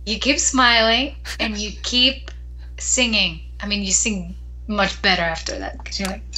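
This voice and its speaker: Bright-voiced Greek-born woman singer. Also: medium pitch, slight background noise, poor audio. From Greece. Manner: thoughtful, restarts and pauses mid-sentence. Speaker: professional singer, guest. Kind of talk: casual interview chat.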